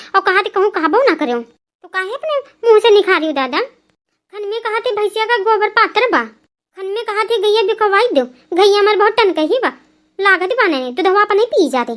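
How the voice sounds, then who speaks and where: Very high-pitched Awadhi village gossip woman acted by a woman. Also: lively intonation, moderate pace, fairly bright tone. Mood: gossipy grumbling. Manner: folksy, nagging, exclamatory. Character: gossipy, teasing rural village women, bickering neighbours. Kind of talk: cartoon comedy dialogue.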